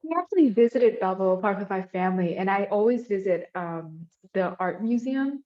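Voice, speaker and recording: A woman's voice: mid-range voice, lively intonation, moderate pace. A young woman interviewing a professor, asking friendly questions full of ums and likes. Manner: friendly, filler-heavy, with many ums and likes. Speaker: student interviewer. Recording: slightly dull lo-fi audio.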